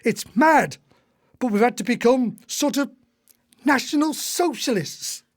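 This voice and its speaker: A man's voice: extremely high pitch, animated, a little slow, full character voice. A man acting in a radio play as a retired husband, an indignant, long-winded, disillusioned old socialist. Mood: indignant, exasperated. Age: older.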